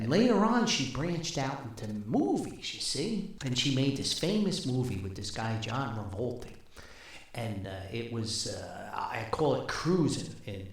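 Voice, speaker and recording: An older man telling a rambling, casual story as a podcast host, with a folksy, wry, halting manner. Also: mid-range voice. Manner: folksy, wry, animated, halting with long asides. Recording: very echoey, reverberant room.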